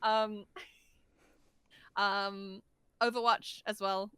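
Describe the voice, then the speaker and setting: A woman's voice: mid-range voice, flat delivery, very slow, a bit bright, clear, resonant tone, no room sound. A woman chatting casually and effusively as a podcast guest, with a giggly, talkative manner. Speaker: guest voice actor.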